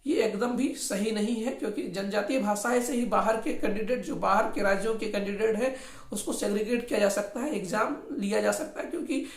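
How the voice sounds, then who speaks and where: A woman presenting informal explanatory news commentary in an opinionated, insistent way, repeating her points. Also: fairly high pitch. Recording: echoey room.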